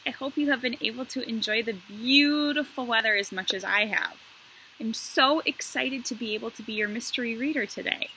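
A woman in her thirties talking to first graders as a guest story reader, explaining things simply and in an animated way. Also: on the high side, slight background noise, low-quality recording. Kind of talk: addressing young children, explanatory read-aloud intro. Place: outdoor covered patio.

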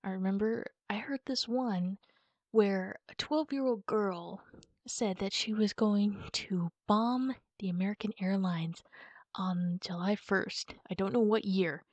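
A woman speaking casually and haltingly in a comforting ASMR roleplay, as a reassuring friend talking to the listener. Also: medium pitch, a little mellow, no room sound, muffled recording. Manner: reassuring, informal, halting with pauses. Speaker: ASMR roleplay performer playing a supportive friend.

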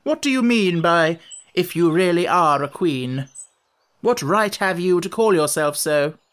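A bossy chess queen from a children's audiobook, acted out by a man. The voice sits well up high, sharp and scolding. Everything is delivered as a stern command from someone quick to lose patience. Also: very animated, exaggerated voice. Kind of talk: audiobook character voice.

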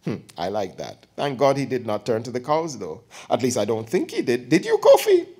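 A man's voice: mid-range voice, huge pitch swings, normal speed, mellow, husky, full character voice. A man reading an audiobook, voicing an elderly aunt who teases and gossips with theatrical flair. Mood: teasing. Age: older. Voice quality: teasing, theatrical, lapsing into dialect.

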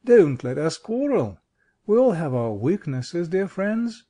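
A man's voice: high voice, sing-song, takes it slowly, dark tone, voice pushed up, full character voice. A man voicing a kindly living scarecrow king in a storybook, reflective, courteous and reassuring in manner. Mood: soothing, earnest.

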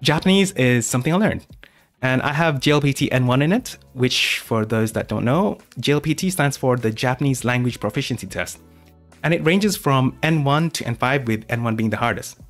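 A man's voice: medium pitch, a little fast. Guy rambling on a live stream, lots of likes and um, some music faint in the back. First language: English. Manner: rambling, many fillers and restarts. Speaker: art channel host.

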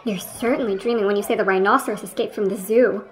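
A woman's voice: mid-range voice, fast, lightly acted. A woman acting in a radio play as a pompous, irritable man who lectures a friend in an indignant, condescending way. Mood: exasperated.

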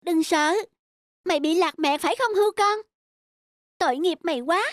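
A woman voicing a kind, earnest young peasant boy in a tender, childlike manner for an animated folk tale. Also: very high voice, normal speed, slight background noise. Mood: tender, caring.

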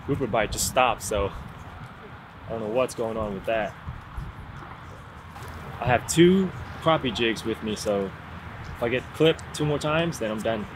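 A young man vlogging while fishing on an outdoor sea pier, chatty and excited, talking to viewers into a camera mic. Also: mid-range voice, measured pace, pauses often, background noise. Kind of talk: casual vlog narration while fishing. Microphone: camera-mounted shotgun mic.

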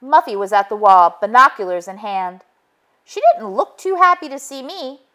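A woman reading a children's storybook aloud in an expressive storytelling style. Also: a little high, normal speed. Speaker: read-aloud narrator.

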